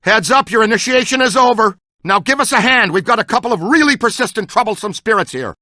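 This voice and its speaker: A man's voice: sits high, expressive, fast talker, pushed and bright, dry recording, clean studio sound. A man acting as an eager, talkative ghost-hunting character in a video game, earnest and full of technical jargon. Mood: urgent, alarmed. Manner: earnest, technical jargon, urgent call-outs.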